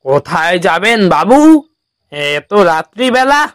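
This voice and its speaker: A man's voice: high voice, sing-song, slow, a bit bright, no echo, studio quality, exaggerated voice. A man voicing a mysterious middle-aged auto-rickshaw driver in a horror cartoon, blunt and haggling. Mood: probing.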